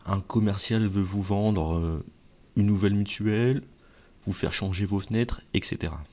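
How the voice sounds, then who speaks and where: A man's voice: lowish voice, moderate pace, a little mellow. A man presenting advice to viewers in a conversational explainer style, often posing questions and answering them himself. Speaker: video presenter giving advice. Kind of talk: explainer talk to viewers, semi-scripted.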